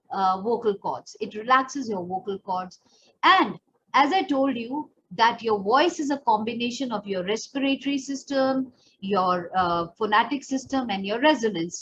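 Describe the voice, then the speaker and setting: A woman's voice: fairly high pitch, fairly bright tone. A woman with an Indian accent giving an instructional voice training lesson as a coach, explaining step by step and speaking straight to the learner. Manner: explanatory, demonstrative, addressing the learner directly. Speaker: pageant and personal development trainer. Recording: dull lo-fi audio, faint background noise.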